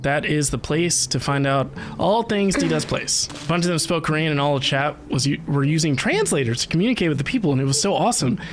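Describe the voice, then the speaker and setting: A game streamer giving casual live commentary, excitable and playful, with chatty asides. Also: medium pitch, a little fast, few pauses, slight background noise. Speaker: livestreamer playing a video game. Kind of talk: casual livestream commentary and chat.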